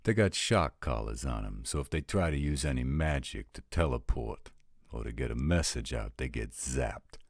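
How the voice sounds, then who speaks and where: A man's voice: rumbling low, lively intonation, normal speed, creaky, no room sound, studio quality, exaggerated voice. A man playing a blunt, roguish supernatural scout who talks in casual street slang, in an audio roleplay drama. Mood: blunt, matter-of-fact.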